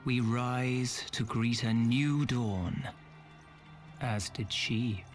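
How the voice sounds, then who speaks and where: Slow, solemn saga narrator. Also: male voice, medium pitch, soft background music. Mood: grave, portentous. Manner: slow, portentous. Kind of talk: dramatic game narration.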